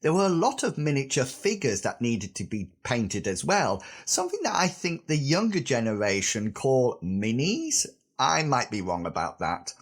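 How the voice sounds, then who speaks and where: A man in his fifties hosting a solo podcast, husky from a recent sore throat, chatty with self-deprecating asides. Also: mid-range voice. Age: 50s. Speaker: podcast host. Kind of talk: scripted solo podcast monologue.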